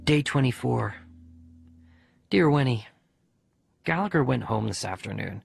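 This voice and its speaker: A man voicing a bereaved young boy who narrates his diary letters in an expressive, conversational audiobook reading. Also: medium pitch, gravelly, slightly rough, no room sound. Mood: chatty.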